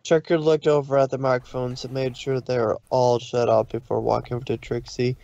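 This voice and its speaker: A man narrating a scripted story, somewhat haltingly, with occasional stumbles over the words. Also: medium pitch, level tone, vocal fry, mellow, no echo, highs cut off.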